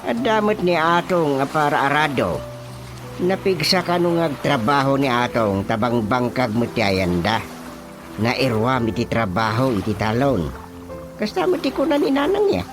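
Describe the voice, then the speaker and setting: A man acts out an Ilocano village chairman in a radio comedy drama. His mid-range voice swings widely as he talks like everyone's uncle, with music clearly underneath. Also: medium pitch, very animated, normal speed, lightly acted. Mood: explaining. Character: animated male village chairman, an uncle figure.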